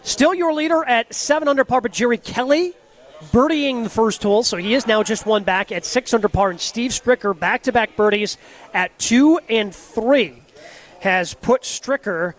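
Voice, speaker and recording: A man co-hosting a live sports radio show from a golf tournament, chatting with quick enthusiasm. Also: very high-pitched.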